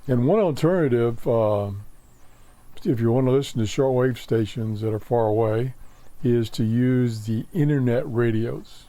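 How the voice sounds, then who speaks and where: A man hosting a casual hobby radio show from his workshop, talking off the cuff in a halting, matter-of-fact way with pauses mid-sentence. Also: medium pitch, mellow, dry recording. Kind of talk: casual unscripted radio demonstration. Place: home workshop.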